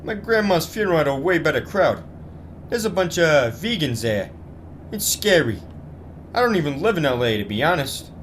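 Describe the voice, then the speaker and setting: A brash radio-drama hustler, acted by a man, talking in slangy wisecracks. The voice sits fairly high and swings freely up and down, with faint noise behind it. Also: big pitch swings, moderate pace, fairly bright tone. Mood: grumbling, blunt. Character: brash, self-serving male hustler with big talk.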